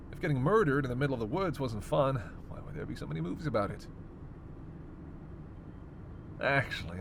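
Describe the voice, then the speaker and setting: A sardonic male vampire, acted by a man for a roleplay audio drama. His mid-range voice teases and needles with theatrical exasperation and casual swearing. Clear vehicle noise runs behind him. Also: medium pitch. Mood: playful menace. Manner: sarcastic, needling, theatrically exasperated, foul-mouthed. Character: snarky, teasing immortal male vampire passenger.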